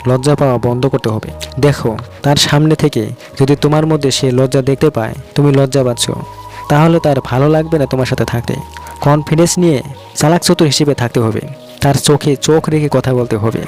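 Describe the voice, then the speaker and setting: A man's voice: mid-range voice, a little mellow. A man narrates scripted motivational relationship advice, speaking directly to the listener in an instructive way. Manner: direct, instructive, addresses the listener with rhetorical questions.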